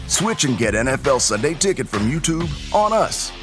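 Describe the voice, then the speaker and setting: A man's voice: mid-range voice, very animated, moderate pace. A man reading a scripted commercial as a voice-over announcer. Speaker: advert voice-over. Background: music.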